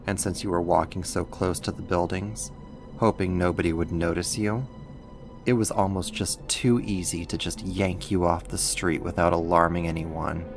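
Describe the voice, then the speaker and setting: Male actor as a menacing kidnapper villain, gravelly creaky low voice, taunting, condescending, ASMR roleplay, music behind. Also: fairly even intonation. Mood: smug, mocking. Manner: slow, taunting, controlling, condescending.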